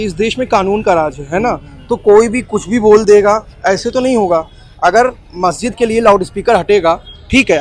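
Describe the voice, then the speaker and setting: Very high-pitched man arguing his opinion. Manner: argumentative, repeats and searches for words. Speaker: street interviewee. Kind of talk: spontaneous opinion, Hindi mixed with English.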